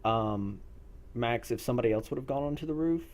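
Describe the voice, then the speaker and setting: A man speaking as a game master, explaining a role-play session in a deliberate, measured way. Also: medium pitch, darkish tone.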